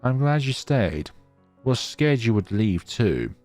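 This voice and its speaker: A man voicing a lonely, gentle snow spirit girl in a visual novel, with a wistful, lilting manner. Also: mid-range voice, sing-song, slow pace, soft background music. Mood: flustered, gentle.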